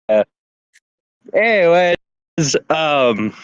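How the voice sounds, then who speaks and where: A man's voice: fairly high pitch, sing-song, extremely slow, clear, resonant tone, dry recording, muffled recording. A young man casually hosting a podcast, rambling with lots of "anyways" and laughter. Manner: rambling, many fillers and laughs. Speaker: podcast host.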